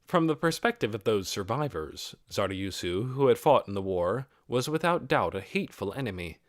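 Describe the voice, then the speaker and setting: A man's voice: fairly low pitch, big pitch swings, normal speed, dry recording, clean studio sound. A man reading scripted fantasy fiction as an audiobook narrator.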